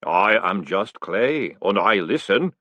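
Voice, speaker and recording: A man voicing a huge male clay golem who speaks slowly, calmly and ponderously. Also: no echo, lo-fi sound, full character voice. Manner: slow, booming, calm.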